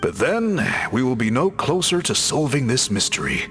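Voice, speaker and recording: A composed, scholarly researcher in a video game. He speaks at a medium pitch with expressive rises and falls, choosing formal words precisely and politely. Faint music sits behind him. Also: normal speed. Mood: courteous, assured. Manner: courteous, precise, formal phrasing. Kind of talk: video game character acting.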